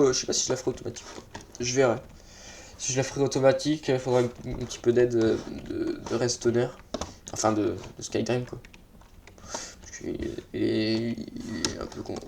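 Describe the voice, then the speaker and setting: Male let's play host, slightly congested, casual and hesitant, mid pitch, faint noise with keyboard clicks. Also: a little mellow. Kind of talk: casual gameplay commentary. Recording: noisy mic with keyboard clicks.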